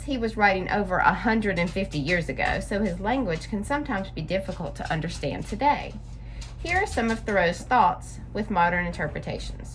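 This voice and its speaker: A woman hosting story time, reading aloud and explaining things simply to young listeners in an expressive, instructive way. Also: on the low side.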